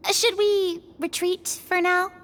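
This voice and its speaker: A woman's voice: very high-pitched, little pitch movement, slow, fairly bright tone, heavily acted. An actress playing an earnest, curious, polite young woman in a video game, expressively wondering aloud, with reverb on the voice. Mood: relieved, musing.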